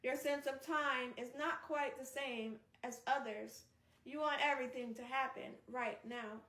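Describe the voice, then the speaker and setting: A woman hosting a read-aloud, moving between narration and casual, expressive, chatty asides. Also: high voice, a little slow. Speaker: host reading a book aloud on a live stream. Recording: roomy echo, distant microphone.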